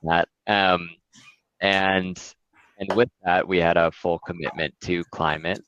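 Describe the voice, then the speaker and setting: A man presenting his investment firm in a relaxed webinar talk, with frequent fillers. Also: sits low, no echo, highs cut off.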